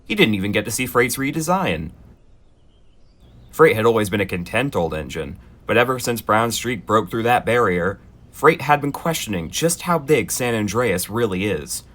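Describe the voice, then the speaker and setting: A man narrating in a gentle, expressive children's storybook style, with dry asides. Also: on the low side, normal speed.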